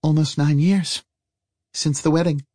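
Man voicing a guarded, higher-pitched woman traveler. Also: steady tone, unhurried, dark tone, no echo, clean studio sound. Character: guarded, dry-witted woman traveler, polite and brisk.

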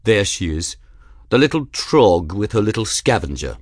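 A man acts out an arrogant young aristocrat for an audiobook. He is merry in his mockery and lofty in his complaints. His intonation is animated and swaggering. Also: on the low side, normal speed, no echo, studio quality. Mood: smug mockery. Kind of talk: audiobook character voice.

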